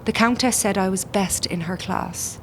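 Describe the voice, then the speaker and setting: A woman's voice: medium pitch, normal speed, a little hiss or hum, close to an everyday voice. A woman playing a bold, impatient rebel courier in an audio play.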